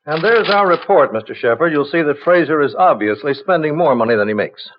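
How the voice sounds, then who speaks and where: Actor as businesslike parole officer, higher-pitched. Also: male voice, lively intonation, fairly quick, natural voice. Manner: businesslike, conversational. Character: helpful, matter-of-fact male parole officer reporting. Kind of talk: 1950s radio crime drama dialogue. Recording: dull old radio recording.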